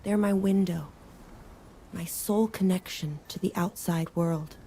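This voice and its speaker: Slow, creaky-voiced wistful woman. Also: lowish voice, dark tone, a little hiss or hum. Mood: wistful, reflective. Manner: measured, pausing between phrases. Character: wistful, solitary woman narrating her longing. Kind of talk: slow, reflective scripted narration.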